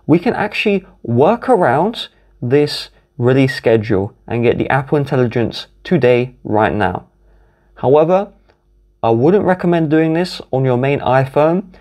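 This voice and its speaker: A man's voice: mid-range voice, animated, measured pace, darkish tone. British man walking through phone steps. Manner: instructive, methodical, walks through each tap. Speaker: tech tutorial host. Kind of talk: step-by-step phone tutorial voice-over.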